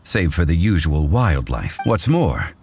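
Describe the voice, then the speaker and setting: An actor playing a composed, dignified gentleman adviser in a video game, speaking in a formal, measured way. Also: low voice, very animated, slight background noise. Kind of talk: video game dialogue acting.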